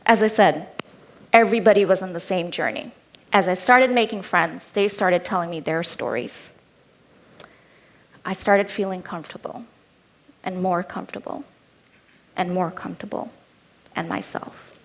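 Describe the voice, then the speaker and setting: A woman's voice: on the low side, moderate pace, long pauses. Woman with an Indian accent telling her story. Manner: storytelling, candid, with light humor. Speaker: keynote speaker. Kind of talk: personal keynote talk.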